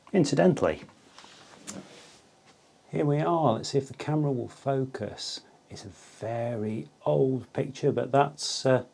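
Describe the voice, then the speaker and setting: Man, fifties, lowish voice, casual vlog storytelling, enthusiastic, pauses for emphasis. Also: darkish tone. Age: 50s. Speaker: video host, hi-fi enthusiast.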